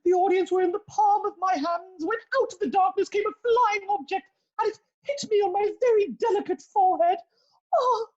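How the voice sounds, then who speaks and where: A woman's voice: very high voice, brisk, darkish tone, lo-fi sound. A woman playing a vain, melodramatic actress testifying in a comic courtroom play, haughty and self-dramatising.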